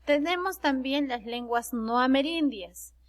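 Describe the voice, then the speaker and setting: A woman's voice: sits high, a little slow. A woman teacher with a Peruvian Spanish accent giving a recorded class, explaining patiently with frequent fillers and self-corrections. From Peru. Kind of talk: recorded online class lecture.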